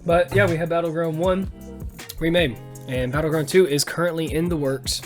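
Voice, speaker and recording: A man's voice: fairly high pitch, fairly even intonation, normal speed. A man hosting a podcast in casual chat, rambling along with slang and plenty of swearing. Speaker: podcast host. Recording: music playing behind the voice.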